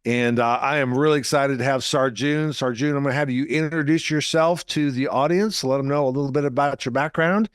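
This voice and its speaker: A man's voice: mid-range voice, brisk, hardly any pauses, smooth, no room sound, clean studio sound. A man hosting an interview show, talking in an enthusiastic, chatty way and often repeating words. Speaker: show host.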